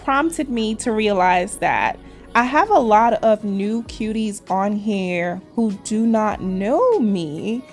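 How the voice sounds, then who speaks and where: Woman, 40s, Trinidadian and New York accent, middle pitch, chatty nail vlogger, faint music under. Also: lively intonation, takes it slowly. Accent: Trinidadian mixed with New York. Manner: chatty, addresses viewers as cuties, says y'all. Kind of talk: casual chat while doing nails.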